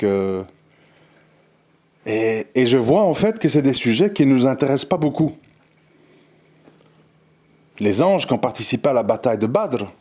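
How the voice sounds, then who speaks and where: A man speaking at a mid pitch in an unscripted monologue. He is earnest and insistent, often stalls and repeats words before he presses his point. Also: a little mellow. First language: not Arabic. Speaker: religious commentator.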